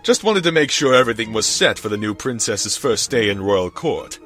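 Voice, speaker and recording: A man acts out a military stallion from a fan fiction audio story. He is lowish in pitch with big swings in intonation. He drawls with suave, courtly authority. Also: sing-song, fairly quick, a bit bright, faint music behind. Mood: wry, commanding.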